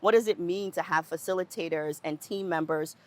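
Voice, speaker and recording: A woman's voice: on the low side, moderate pace. A middle-aged woman speaking earnestly in conversation, thinking aloud with restarts and questions like "right?". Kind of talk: conversational reflection.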